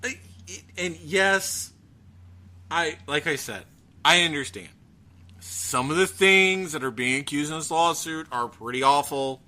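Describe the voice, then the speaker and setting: A man chatting casually as a podcast host, ranting in an animated, profane way. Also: fairly high pitch, unhurried. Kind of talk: casual chat about gaming news.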